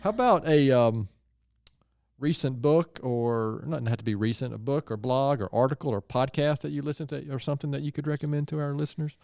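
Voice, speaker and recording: A man hosting a casual podcast interview. His voice has a gravelly, creaky edge and is recorded dry and close. He chats in a friendly way and wanders into rambling asides. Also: medium pitch, brisk, darkish tone, dry recording. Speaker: podcast host.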